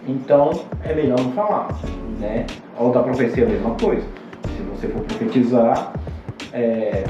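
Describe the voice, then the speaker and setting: Brazilian man, pastor guest, halting explanations, distant dull mic, very echoey room, music underneath. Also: medium pitch. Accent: Brazilian Portuguese. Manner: halting, many fillers and restarts, explanatory. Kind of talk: casual podcast talk explaining scripture. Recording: roomy, distant, dull podcast audio.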